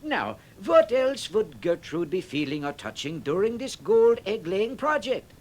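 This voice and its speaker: High-pitched, swooping old bearded helper. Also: male voice, big pitch swings, unhurried, exaggerated voice. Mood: thoughtful. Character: bearded old helpful leader, thoughtful, earnest, encouraging. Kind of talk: children's storybook record character voice. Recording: vintage record with music behind.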